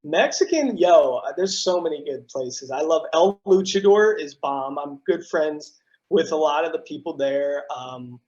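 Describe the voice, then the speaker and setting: Lively high-voiced man on a call. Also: a little slow, highs cut off. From US East Coast. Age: 30s. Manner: animated storyteller, lots of fillers and slang. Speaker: TV news broadcaster and guest. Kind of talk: casual interview chat. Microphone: video call.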